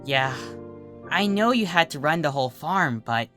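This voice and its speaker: A narrator voicing a brash, eager young female pegasus pony with a soft heart, in a cartoon fan fiction reading. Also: mid-range voice, animated, moderate pace, music in the background, pitched above the performer's own voice, natural voice. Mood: sulky, wistful. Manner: boastful, eager, sometimes sulky.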